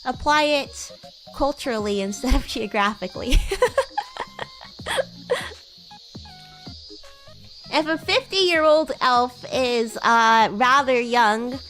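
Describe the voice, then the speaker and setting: A woman puts on a young female demon classmate with a high, girlish voice. She is bratty, playful and teasing, rambling in a loose livestream roleplay style. Faint music plays behind her. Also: animated, a little slow, pushed and bright, rough recording. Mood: playful explaining. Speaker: VTuber streamer. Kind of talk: improvised in-character roleplay livestream chat.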